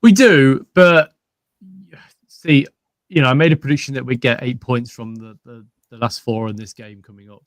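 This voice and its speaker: Man, casual football chat, halting delivery, dry close mic, mid pitch. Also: no echo. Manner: hesitant, lots of fillers and restarts. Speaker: co-host, fan pundit.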